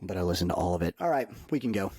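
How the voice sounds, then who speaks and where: A man co-hosting a casual music podcast, telling stories emphatically with halting restarts, on a close microphone. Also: low voice, animated, a little mellow, dry recording. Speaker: podcast co-host.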